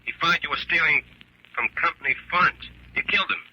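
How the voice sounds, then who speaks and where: A man's voice: on the high side, fairly quick, sharp tone, slight background noise, rough recording. A man playing a menacing avenging caller in a 1940s radio mystery, taunting and mock-polite through a telephone filter. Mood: bitter, accusing. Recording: old 1940s radio recording.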